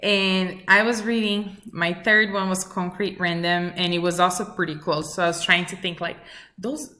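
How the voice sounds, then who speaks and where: A woman, introducing herself to a class in an earnest, measured way with many pauses and fillers. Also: on the low side, fairly even intonation, bright, forceful tone. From Brazil. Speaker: student. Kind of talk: recorded self-introduction for a class.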